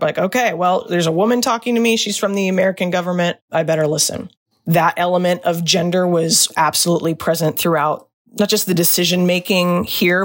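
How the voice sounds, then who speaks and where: A woman with a deep voice, a former diplomat speaking candidly and reflectively as a podcast guest. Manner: candid, reflective, animated storytelling. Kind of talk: podcast interview.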